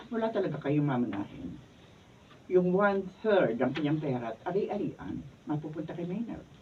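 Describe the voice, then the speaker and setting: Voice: medium pitch, a little hiss or hum, close to an everyday voice. A performer playing an attorney explaining a will in a radio drama. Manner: calm, explanatory, formal. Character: family lawyer handling a will. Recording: muffled and distant.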